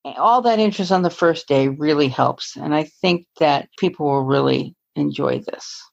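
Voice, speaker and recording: A woman's voice: deep voice, normal speed, gravelly. A woman co-hosting a podcast, chatting casually, rambling and correcting herself as she goes. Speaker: podcast co-host. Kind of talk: casual chat. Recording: dull, lo-fi audio.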